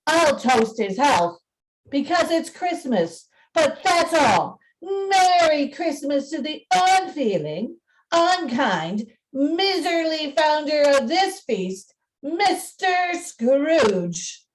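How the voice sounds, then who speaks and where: A woman's voice: high-pitched, big pitch swings, takes it slowly, echoey room, far from the mic, exaggerated voice. A woman acting a poor parent at a festive family table in a radio play. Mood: grudging. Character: Cratchit household parents, poor, grudging at festive toast.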